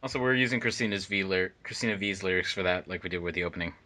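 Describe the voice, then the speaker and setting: A man's voice: low voice, racing, sharp tone. A man in casual chat.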